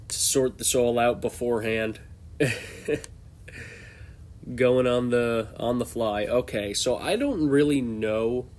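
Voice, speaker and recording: Young male host, stuffed-up from a cold, unhurried, ad-libbed ranking chat. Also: medium pitch, darkish tone. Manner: loose, off-the-cuff, thinking aloud. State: congested from a cold. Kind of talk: casual tier-list commentary.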